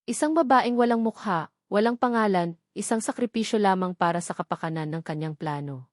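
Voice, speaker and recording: Female Filipino storyteller, mid-pitched, close dry sound, scripted dramatic romance narration. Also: lively intonation, fairly quick, no echo.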